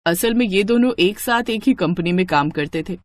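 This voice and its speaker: Woman narrating a cartoon story, really animated delivery, scripted. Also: fairly low pitch, moderate pace.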